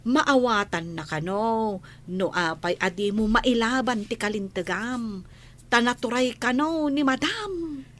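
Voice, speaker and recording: High-voiced Ilocano housemaid. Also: female voice, measured pace, fairly bright tone. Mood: earnest, flustered. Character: respectful, excitable household maid woman confiding in her employer. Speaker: radio drama actress. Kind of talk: Ilocano radio drama acting.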